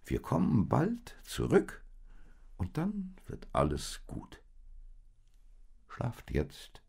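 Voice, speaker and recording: A small, loyal donkey voiced by a man promises earnestly to be careful, in a higher voice than the reader's own. The tone is husky with a gravelly edge. It reads like a bedtime storybook. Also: wildly swooping pitch, drawn-out words, darkish tone, heavily acted. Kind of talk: storybook reading.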